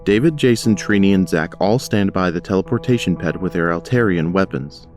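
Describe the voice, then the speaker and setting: A man narrating a scripted audio drama in a measured, descriptive way. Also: low voice, faint music behind, close to an everyday voice.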